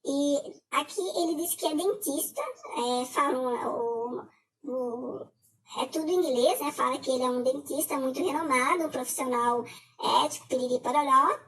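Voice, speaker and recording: A middle-aged Brazilian woman with a Brazilian Portuguese accent gives a personal testimony as a scam victim, talking haltingly with many filler hesitations. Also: high voice, fairly bright tone, distant mic, slight background noise, poor audio.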